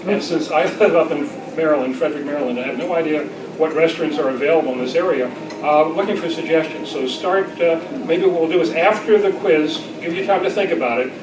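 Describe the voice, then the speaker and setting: A man's voice: fairly high pitch, fast talker. A man chairing an informal club meeting and making announcements in a hesitant, rambling way. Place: large indoor luncheon room. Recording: distant mic, echoey noisy room.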